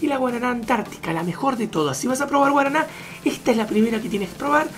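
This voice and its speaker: A woman narrating a travel vlog voice-over, briskly listing supermarket prices one after another. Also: fairly high pitch. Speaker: travel vlogger. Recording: voice-over with music underneath.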